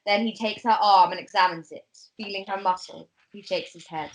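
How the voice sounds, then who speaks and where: A woman's voice: mid-range voice, normal speed, a little hiss or hum, poor audio. A woman reading stage directions as narrator in an amateur script table read.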